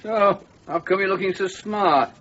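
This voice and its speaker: A man's voice: high-pitched, measured pace, a bit bright, a little hiss or hum. An actor playing an older village father who talks bluntly and teasingly in a radio play. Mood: gruff. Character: jovial old Cossack father, blunt and easygoing. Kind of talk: radio drama acting. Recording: muffled lo-fi radio recording.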